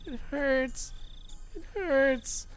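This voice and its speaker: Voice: sits high, very slow, a little hiss or hum, pitched above the performer's own voice. A reader voicing a teenage girl in an audiobook, who sounds sweet and cheerful but menacing, pleading in a sing-song way. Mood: pained pleading. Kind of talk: audiobook reading.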